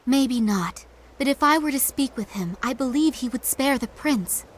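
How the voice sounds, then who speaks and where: An actress voicing a devoted, self-sacrificing young noblewoman, speaking solemnly and at times in a hush. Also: high voice, expressive, normal speed, a little hiss or hum. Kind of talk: video game dub acting.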